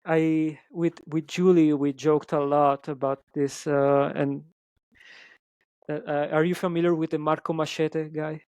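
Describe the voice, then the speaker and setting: Man, Italian accent, interview guest, halting delivery, dry sound. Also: fairly high pitch, flat delivery, a little mellow, smooth, dry recording. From Italy. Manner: hesitant, many ums and restarts. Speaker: guest musician. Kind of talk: casual interview chat.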